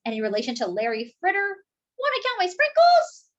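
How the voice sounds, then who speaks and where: A woman voicing an eager, chatty male doughnut in a storybook, excitable, naive and friendly. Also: very high-pitched, fairly quick, highs cut off, pitched above the performer's own voice, heavily acted. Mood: excited, eager.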